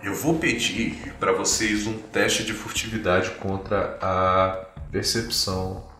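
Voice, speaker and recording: A man's voice: on the low side, fairly even intonation, takes it slowly, sharp tone, reverberant, recorded from a distance, a little hiss or hum. A man with a Brazilian accent narrating a tabletop role-playing game as game master. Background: music.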